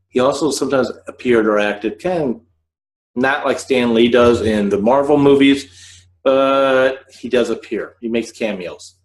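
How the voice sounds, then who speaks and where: A man hosting a scriptwriting podcast, explaining in a modest, deliberate way. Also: medium pitch, low-quality recording. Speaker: podcast host teaching scriptwriting. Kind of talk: casual explanatory talk.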